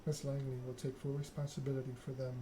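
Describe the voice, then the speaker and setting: A man voicing a stern female vampire prince from a narrative game, with a formal, imperious, deliberate delivery. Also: mid-range voice, monotone, background noise, close to an everyday voice.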